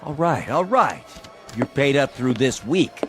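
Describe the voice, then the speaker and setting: An actor playing a gruff, impatient landlord in a radio drama, blunt, streetwise and pushy. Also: male voice, medium pitch, sing-song, measured pace, fairly bright tone, faint music behind. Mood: grudging. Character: gruff, impatient hall landlord demanding rent.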